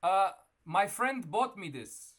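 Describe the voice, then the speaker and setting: A man's voice: sits high, big pitch swings, slow pace, fairly bright tone. A man hosting a random video chat, talking casually and playing confused and innocent. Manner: playful, feigning innocent confusion. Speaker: video chat prankster host. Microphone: webcam or phone mic on video chat.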